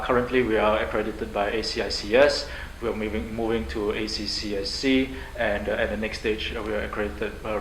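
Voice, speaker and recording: A man from Singapore, a university president answering interview questions in an upbeat, fluent way with frequent fillers. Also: fairly low pitch. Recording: echoey room, faint noise.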